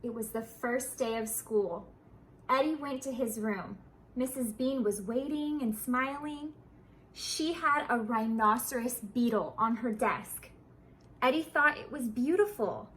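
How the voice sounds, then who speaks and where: Lady reading a kids book out loud, high bright voice, takes it slow. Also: slight background noise, poor audio. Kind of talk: expressive children's storybook read-aloud.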